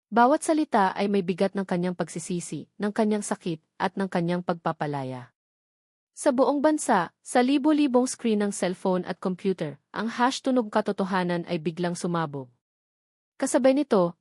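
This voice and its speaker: A woman's voice: medium pitch, a little mellow, no echo, clean studio sound. A woman reading a scripted, dramatic story as a narrator.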